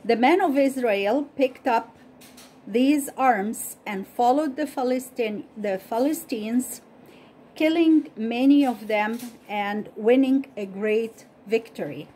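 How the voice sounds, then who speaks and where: A woman whose first language is Arabic, slowly and carefully reading a Bible story aloud and repeating study questions as host. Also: on the high side, mellow, a little hiss or hum, low-quality recording.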